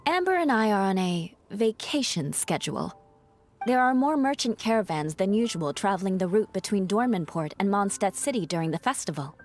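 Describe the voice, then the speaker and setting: A woman plays a young knight captain from an anime game. She is formal, proud and matter-of-fact, with a medium pitch and lively, slightly haughty inflection over background music. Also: fairly quick, close to an everyday voice. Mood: businesslike.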